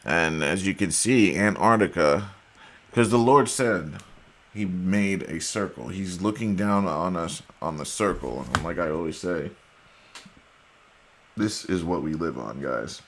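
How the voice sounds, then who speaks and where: A man's voice: low voice, unhurried, a bit bright, slight background noise. A man talking casually and emphatically to his viewers, saying he is losing his voice. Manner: emphatic, argumentative, addresses viewers as guys. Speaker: video host.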